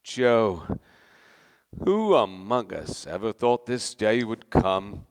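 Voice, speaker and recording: A man's voice: on the low side, very animated, slow, a little hiss or hum, heavily acted. An actor playing a grandiose, hard-drinking, middle-aged male stage star, delivering pompous theatrical oratory in a radio play. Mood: genteel, tipsy. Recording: long hall reverb.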